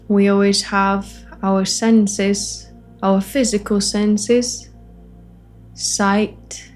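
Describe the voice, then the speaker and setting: A woman facilitating a guided meditation, offering gentle invitations in short phrases with long pauses between them. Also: mid-range voice, level tone, faint music behind. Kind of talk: guided meditation, spoken softly to listeners.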